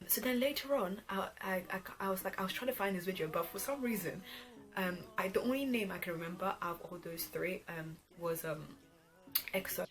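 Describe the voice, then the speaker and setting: Young woman vlogger, lower-pitched, over music. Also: rough recording. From Ghana, later England from age twelve. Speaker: YouTube vlog host. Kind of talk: casual chatty vlog. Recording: background music under voice. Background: R&B pop music.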